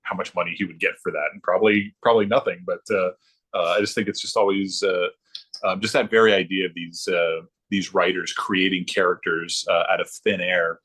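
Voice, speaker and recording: A man with a very low, husky voice talks casually as a podcast guest. He rambles in a halting way, repeating words and dropping in frequent ums as he goes. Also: fairly even intonation, a bit bright. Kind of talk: casual chat about hobbies.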